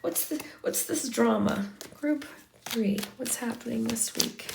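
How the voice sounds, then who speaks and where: Woman, losing her voice, hoarse, gravelly, fairly high, chatty tarot reading, faint background noise. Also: measured pace. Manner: chatty, talks straight to viewers, drops to a whisper at times. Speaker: tarot reader. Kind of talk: pick-a-card tarot reading to camera.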